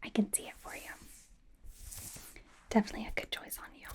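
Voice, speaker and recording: A woman in an ASMR roleplay playing a doting, bossy young pop idol turned fashion stylist, in a soft breathy whisper with a playful, halting delivery. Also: natural voice. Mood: smitten, encouraging. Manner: playful, gushing, gently insistent, halting. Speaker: ASMR performer. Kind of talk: ASMR roleplay, personal attention.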